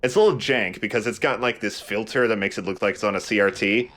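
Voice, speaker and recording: Low-voiced man giving casual game commentary. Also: quick pace, a bit bright. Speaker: let's play host. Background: game music.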